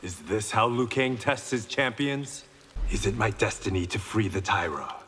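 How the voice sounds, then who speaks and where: A man's voice: on the low side, lively intonation, normal speed, fairly bright tone. An actor playing a resolute, wry blind swordsman in a fighting game, speaking with dry confidence and determination. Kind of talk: fighting game character banter. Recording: long reverb, faint background noise.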